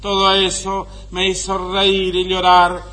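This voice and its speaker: A man reciting poetic prose in a solemn, measured monotone. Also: sits high. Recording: faint noise and hum.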